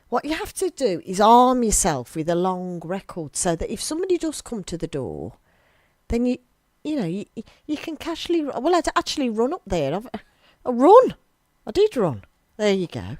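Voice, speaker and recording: An English woman hosting a local radio show, chatting casually and giggling, with a slightly gravelly voice tonight. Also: on the high side, big pitch swings, normal speed, no room sound, clean studio sound. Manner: chatty, giggly, talks over music. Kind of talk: casual chat. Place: small local radio studio.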